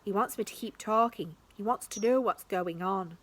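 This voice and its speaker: A woman's voice: mid-range voice, normal speed, a bit bright, a little hiss or hum, lightly acted. A woman voicing Luke, a tiny but hopeful young king in a storybook reading. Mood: wondering.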